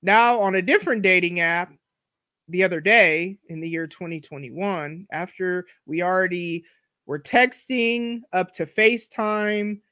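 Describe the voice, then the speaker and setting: A woman's voice: fairly low pitch, a little slow, pushed and bright, no room sound, clean studio sound. A woman in her forties hosting a solo podcast, talking candidly in a chatty, slangy way full of asides. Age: 40s. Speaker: podcast host. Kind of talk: casual solo podcast monologue.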